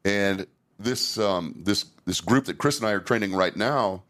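A middle-aged man with a fairly low voice hosts a relaxed podcast chat. He talks candidly and rambles, often restarting his sentences as he works through his thoughts. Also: moderate pace. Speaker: safety trainer and podcast host. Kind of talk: casual podcast conversation.

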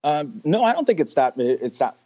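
A man's voice: a little high, sing-song, moderate pace, mellow, lo-fi sound. A man from Canada, a guest in a casual interview, talking with many fillers and restarts over remote call audio. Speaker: guest, sales rep.

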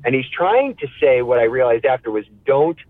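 A man telling a casual, animated comic story in a halting, rambling way, acting out bits of dialogue. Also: medium pitch, dry recording, phone-quality sound.

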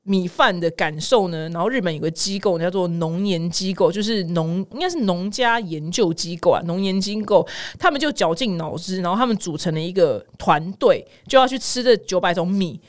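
A woman's voice: fairly low pitch, expressive, brisk, few pauses. A woman with a Taiwanese Mandarin accent hosting a casual news podcast, exclamatory and dramatic, wandering into personal asides and mixing in English words. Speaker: podcast host.

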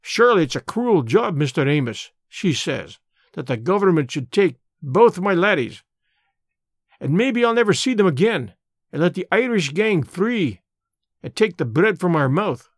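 A blunt older Scottish labour radical speaks in thick dialect, as a male reader puts him on. His voice sits high and swings widely. The recording is dry and close. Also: sing-song, moderate pace, dry recording. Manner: broad dialect, forceful and opinionated. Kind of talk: audiobook character voice.

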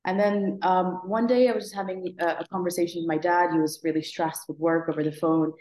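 A woman's voice: lowish voice, fairly even intonation, a little fast, a little mellow, roomy sound, poor audio. A woman in her thirties from Morocco, a guest telling her story in a conversational interview, reflective and thoughtful, with many fillers and restarts. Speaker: guest, app founder.

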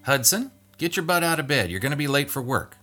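A man's voice: a little high, sing-song, fairly quick, sharp tone, soft background music, pitched above the performer's own voice. A man voicing a stern, fussing mother in a storybook reading, scolding and exclaiming. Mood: scolding, urgent. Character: stern, fussing middle-aged-to-older mother, thin and tired.

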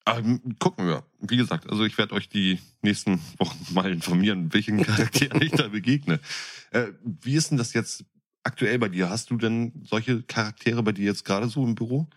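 A man's voice: lowish voice, normal speed. A man co-hosting a podcast in casual, colloquial chat. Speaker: podcast co-host.

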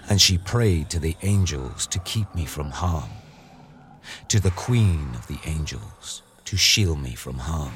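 Guy reciting poetry real slow and solemn, ambient noise clearly going behind him. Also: low-pitched. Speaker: poetry reader. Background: ambient sound design.

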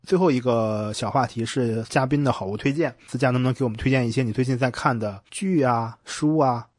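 Male podcast host, quick pace, slightly rough husky tone, close dry sound, conversational and reflective. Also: mid-range voice, no room sound.

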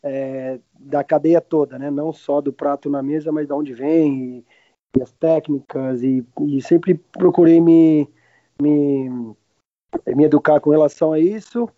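A man in his late thirties from Argentina with a Brazilian accent, chatting casually and haltingly as an interview guest, often backtracking mid-sentence. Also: on the high side, steady tone, no echo. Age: late 30s. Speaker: podcast guest, restaurant owner. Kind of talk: casual chat. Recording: roomless dry, slightly dull lo-fi sound.

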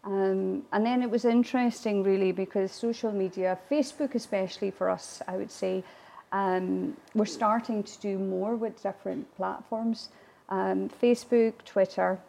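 Woman, Gaelic-first speaker, talkative interview guest with a gravelly edge. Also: medium pitch, steady tone, moderate pace. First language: Irish Gaelic. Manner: chatty, warm laughs, frequent fillers and restarts. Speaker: guest speaker, distillery attraction manager. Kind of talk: conversational interview answers.

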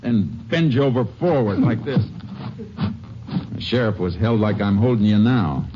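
An actor playing a calm, shrewd Texas Ranger investigator in a 1950s radio drama, talking in a steady, deliberate way. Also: male voice, lowish voice, lightly acted. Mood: calm, probing. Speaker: lead actor. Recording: dull old radio recording with faint hiss.

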